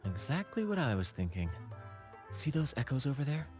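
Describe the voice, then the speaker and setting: A man's voice: medium pitch, big pitch swings, moderate pace, close to an everyday voice. A man voicing a video game's flamboyant young troupe leader, playful and gallant, with theatrical, flowery phrasing. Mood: playful showmanship. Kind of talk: video game voice acting. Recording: game music behind the voice.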